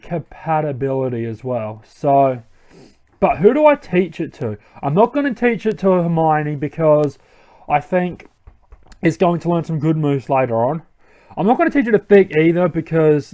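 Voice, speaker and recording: A man's voice: fairly high pitch, big pitch swings, moderate pace, dark tone. A young man hosting a let's play, giving casual commentary and thinking aloud with many ums.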